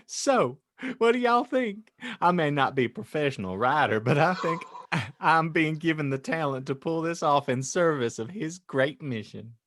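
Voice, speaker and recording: Theatrical man with widely swinging pitch. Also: lively intonation, moderate pace, fairly bright tone, dry recording, studio quality. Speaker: podcast host and fan fiction reader. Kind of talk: theatrical comic reading and banter.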